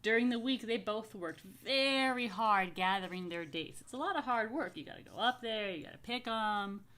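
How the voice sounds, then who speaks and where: A woman reading a picture book to young families as a rabbi, stretching key phrases expressively and slipping into chatty asides. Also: a little high. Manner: expressive, slow emphatic storytelling with chatty murmured asides. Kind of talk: children's story read-aloud with casual asides.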